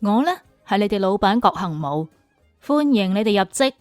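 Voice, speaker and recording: A woman's voice: medium pitch, animated, normal speed, darkish tone, no room sound, lightly acted. Drama role: authoritative businesswoman , played by a female narrator, cool, formal, close dry sound, light music bed. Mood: cool, businesslike. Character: cool, authoritative attractive female company boss.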